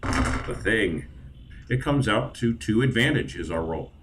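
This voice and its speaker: Lively, stammering man playing a game. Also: lowish voice, unhurried, noisy background. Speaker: player. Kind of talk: casual tabletop play.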